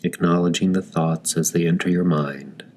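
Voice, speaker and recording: A man leading a guided meditation in calm, gentle scripted narration, with deliberate phrasing and long pauses. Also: deep voice, slight background noise.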